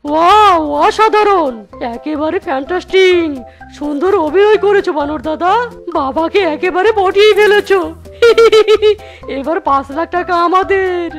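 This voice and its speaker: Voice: very high-pitched, a little slow, faint music behind. A performer voicing a timid, scheming young fox sidekick in a Bangla comedy cartoon, wheedling and exclamatory. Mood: gleeful admiring.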